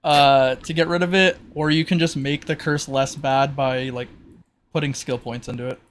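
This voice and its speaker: A man's voice: fairly high pitch, steady tone, normal speed, slight background noise. A man running a tabletop roleplay as game master, explaining rules and backstory in a loose, informal way. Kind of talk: casual improvised tabletop game narration.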